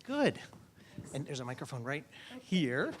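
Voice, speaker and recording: A man, a college dean, introducing a guest and moderating a public conversation at an event, speaking in a measured way with frequent ums. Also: medium pitch, very animated, background noise.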